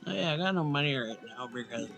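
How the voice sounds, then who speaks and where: Creaky-voiced man doing casual gameplay commentary. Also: mid-range voice, expressive, fast, a bit bright, soft background music. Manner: offhand, rambling. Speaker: gaming streamer. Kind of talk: casual let's play commentary.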